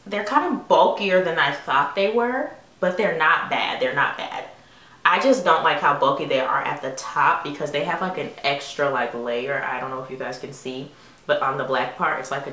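A woman's voice: sits low, bright, forceful tone, reverberant, far from the mic. A young woman in her twenties chatting playfully to camera about sunglasses she was sent, rambling and calling viewers honey. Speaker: beauty vlogger. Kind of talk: casual haul vlog talking to camera. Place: bedroom vanity with lighted mirror, indoors.

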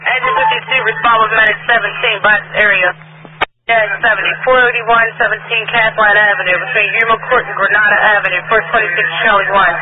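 A man's voice: sits high, steady tone, fast talker. A man working as a fire dispatcher, giving clipped, formal call-outs and repeating addresses over a two-way radio. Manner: clipped, procedural. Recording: tinny, very noisy emergency radio scanner feed. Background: heavy radio noise.

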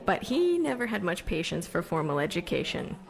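A woman's voice: lowish voice, sing-song, fairly quick, vocal fry. An actress playing a chatty, self-deprecating young American woman who wants fame, telling stories on stage in an audio drama. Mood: chatty, confiding. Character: chatty young Somerville woman set on becoming famous. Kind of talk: conversational stage storytelling monologue.

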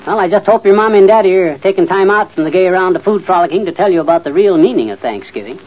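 An actor playing a blustery, folksy, know-it-all middle-aged husband in an old-time radio comedy. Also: male voice, high voice, expressive, fast. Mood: folksy, explaining. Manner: folksy, boastful, muttering and sputtering. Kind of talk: 1940s-50s radio comedy acting. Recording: muffled old radio recording.

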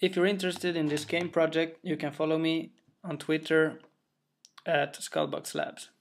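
A man's voice: fairly high pitch, flat delivery, moderate pace, gravelly. A young man from Sweden with a Swedish accent, a game developer talking through his game in careful, slightly hesitant English. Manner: careful, plain, a little hesitant with fillers. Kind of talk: narrated gameplay walkthrough.

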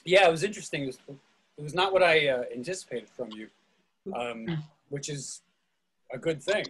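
Male host, slightly high voice, husky, casual moderating, video call. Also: expressive, normal speed, fairly bright tone, low-quality recording. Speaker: host of an online readers theatre. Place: indoors at home on a group video call.

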